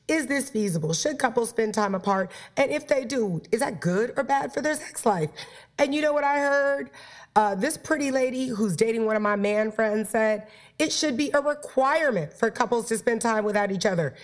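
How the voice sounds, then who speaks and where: A woman hosting a playful, flirty talk show about sex and relationships, her voice stuffed up from a cold. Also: a little high. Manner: playful, flirty, talks straight to listeners. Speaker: podcast host.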